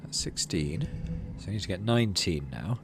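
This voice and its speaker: A man's voice: deep voice, animated, normal speed, gravelly, noisy background. A man giving casual let's play commentary, reading story text aloud and murmuring to himself as he decides. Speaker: gaming channel host.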